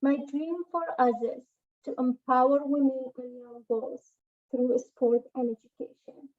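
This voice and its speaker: Woman in her thirties from Afghanistan, panelist, bright high register, muffled call audio. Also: little pitch movement, unhurried. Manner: earnest, with frequent fillers and restarts. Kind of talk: personal story and poem recital on a panel. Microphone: video call.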